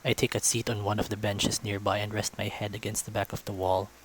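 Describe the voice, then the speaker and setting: Low-voiced man narrating a story. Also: flat delivery, a little fast, slight background noise, poor audio. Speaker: visual novel narrator, let's-play reader. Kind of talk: storytelling narration read aloud.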